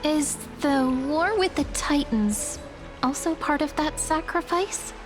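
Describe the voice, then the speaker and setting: A woman's voice: high voice, lively intonation, slow. A woman voicing a polite, composed young heroine in a video game, speaking earnestly and respectfully. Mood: respectful, probing. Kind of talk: scripted video game voice acting. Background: faint game music.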